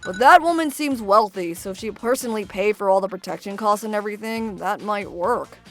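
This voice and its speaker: A woman's voice: medium pitch, fairly quick, close to an everyday voice. A woman voicing a friendly, ordinary young wife who tells her story expressively in a manga dub, over background music. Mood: polite, friendly. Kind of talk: scripted manga dub narration.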